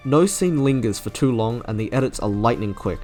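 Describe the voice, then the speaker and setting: A man's voice: medium pitch, expressive, a little fast, mellow. A man narrating a scripted, opinionated video review with enthusiasm. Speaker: video creator reviewing game character trailers. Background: music.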